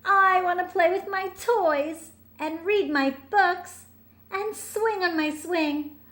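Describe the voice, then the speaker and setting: Woman doing a high-pitched, pleading little raccoon boy. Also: slow pace, a bit bright, full character voice. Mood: tearful, pleading. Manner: pleading, childlike. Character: young raccoon boy, tearful and reluctant about school. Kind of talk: picture book read-aloud.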